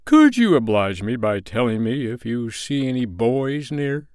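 A man voicing a mild, courteous little sea crab with formal, drawn-out politeness for a children's storybook. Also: mid-range voice, very animated, a little mellow, smooth, no echo, clean studio sound. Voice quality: mild, small voice. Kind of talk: storybook character voice.

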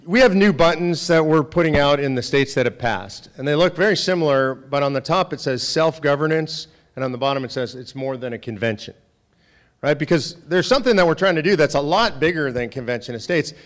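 A man with a loud voice, president of an organization, speaking to volunteers and lawmakers in a meeting room. He talks conversationally and emphatically, often tagging points with "right?". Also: fairly high pitch, fairly quick. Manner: conversational, emphatic, rhetorical "right?" tag questions. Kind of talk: speech with audience questions. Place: meeting room with a live audience.

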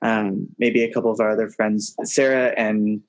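A young man in his twenties with a slightly low voice. He tells stories in a cheerful, chatty way during a relaxed conversation. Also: normal speed. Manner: upbeat, storytelling, chatty. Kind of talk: casual chat.

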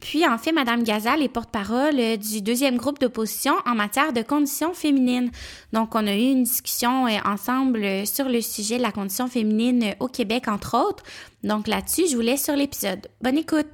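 A young woman hosts a podcast interview, her voice pitched a little high. She speaks politely and often pauses on hesitation sounds. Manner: polite, with frequent fillers. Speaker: podcast host.

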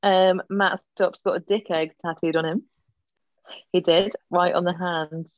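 A woman's voice: fairly low pitch, fairly even intonation, a little slow, dry recording, highs cut off. A woman co-hosting a podcast in casual chat, giggly and chatty. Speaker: podcast co-host.

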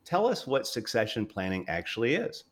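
A man's voice: medium pitch, huge pitch swings, moderate pace. A man hosting an interview in casual chat, with an informal, jokey way of talking. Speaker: interview host. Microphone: online call.

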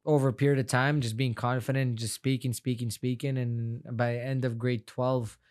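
A man's voice: medium pitch, little pitch movement, moderate pace, darkish tone. A young man from Afghanistan, a non-native English speaker whose first language is Farsi, talking casually as an interview guest, rambling and repeating words in a heartfelt way. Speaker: guest fighter.

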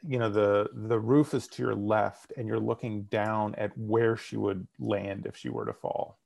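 Man, gravelly voice with vocal fry, relaxed, explains camera angles, casual chat. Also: lowish voice, measured pace. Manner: relaxed, explains shots in detail. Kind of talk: casual movie discussion.

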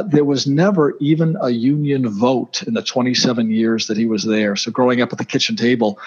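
A man's voice: medium pitch, slightly rough, dull audio. A middle-aged man from Boston with a Boston accent, a guest in an interview, talking casually with many fillers and restarts. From Boston, USA.

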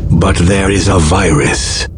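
A man playing an ominous movie trailer announcer with a deep voice, in dramatic narration over music. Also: fairly even intonation, slow pace. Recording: loud music under the voice.

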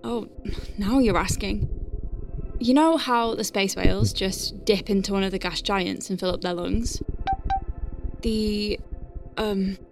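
A woman's voice: medium pitch, slow, long pauses, music in the background. A woman playing an anxious but wry medic piloting a craft in a science fiction audio drama, thinking aloud in technical terms. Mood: wry, nervous.

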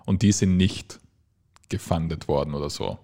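Low-voiced joking Austrian man. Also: moderate pace, gravelly, dark tone. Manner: joking, easygoing, teasing. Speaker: podcast co-host. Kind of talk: casual chat.

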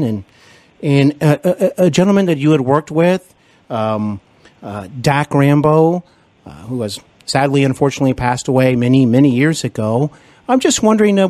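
A man's voice: mid-range voice, darkish tone, dry recording. A man hosting a conversational interview, effusive and deferential, often feeling his way through his phrasing. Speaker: podcast host and interviewer.

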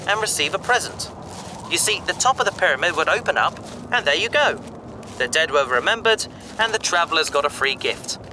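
A man's voice: a little high, noisy background, natural voice. A man playing a bookish, enthusiastic space adventurer who explains things chattily in a sci-fi audio drama. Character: male bookish, eager space adventurer and explorer.

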